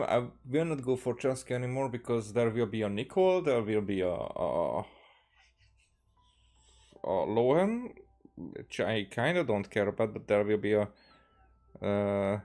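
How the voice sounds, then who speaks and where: Low-voiced man casually commentating a game. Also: expressive, a little slow, pauses often, a little mellow, faint music behind. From Hungary. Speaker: video game streamer.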